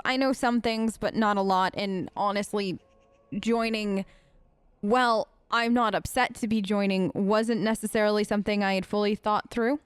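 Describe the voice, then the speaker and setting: A woman's voice: mid-range voice, clean tone, no room sound. A woman hosting a gaming video, casually role-playing her character's side of the dialogue in a chatty, conversational way.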